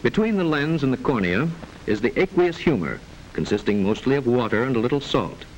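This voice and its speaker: A man narrates in the formal style of a 1940s educational film. His medium-pitched voice moves through lively rises and falls while staying measured and instructive. A steady layer of noise from the old soundtrack sits under the speech. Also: muffled recording. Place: voice-over narration recording.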